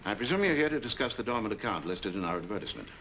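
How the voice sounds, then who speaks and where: 40s banker, really low voice, quick and polite, dull old film sound. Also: male voice, sing-song, pushed and bright, slight background noise, heavily acted. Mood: courteous. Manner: businesslike, polite. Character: formal, courteous male bank officer. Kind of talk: 1940s film noir acting.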